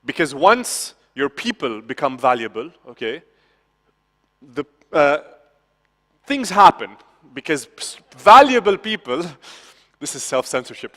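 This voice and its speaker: A man's voice: on the high side, fairly bright tone. A man from Lebanon, giving a conversational conference talk with frequent "okay?" asides and restarts. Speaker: conference speaker. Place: conference hall. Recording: hall reverb from a conference stage.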